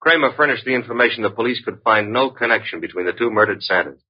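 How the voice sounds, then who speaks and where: Husky, wisecracking radio detective's sidekick. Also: male voice, on the low side, fast talker. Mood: wry. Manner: breezy, wry banter. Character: wisecracking, flirtatious male private detective's assistant. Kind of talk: old-time radio detective acting. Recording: dull, muffled vintage radio recording.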